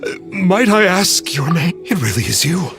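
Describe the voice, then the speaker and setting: A self-important, cowardly male fraud from a video game, poses as a sage in a high, very animated voice. His diction is grandiose and archaic. Faint music sits behind him. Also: moderate pace, heavily acted. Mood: awed, repentant. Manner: pompous, theatrical, archaic formal diction. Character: pompous, cowardly male charlatan posing as immortal sage. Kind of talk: video game character acting.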